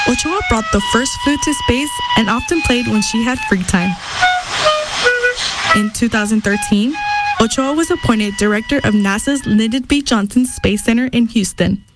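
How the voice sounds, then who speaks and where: A woman narrating a scripted radio feature in a clear, measured voice. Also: on the high side, low-quality recording.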